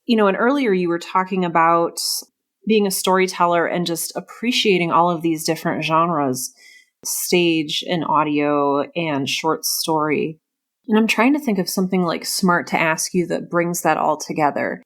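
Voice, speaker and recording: A woman's voice: on the low side, moderate pace. A woman hosting a casual podcast interview, effusive and reflective, often thinking aloud as she talks. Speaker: podcast host interviewing a writer.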